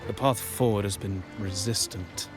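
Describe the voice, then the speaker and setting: A man voicing a courteous, formal nobleman from a merchant family in a game cutscene, speaking with smooth persuasion over game music. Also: lowish voice, steady tone, moderate pace, close to an everyday voice. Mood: earnest.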